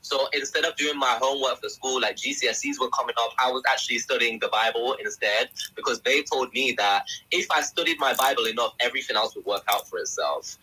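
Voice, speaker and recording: A young man from England tells his story as a guest in a casual podcast chat, animated and acting out what others said. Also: medium pitch, a little fast, few pauses, husky, soft background music, low-quality recording. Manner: animated storyteller who acts out quotes.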